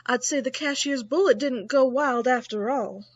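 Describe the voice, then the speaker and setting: A woman's voice: high voice, moderate pace, husky, no room sound, lo-fi sound. A woman playing a wry, matter-of-fact frontier town doctor in a Western radio drama, with a clinical manner.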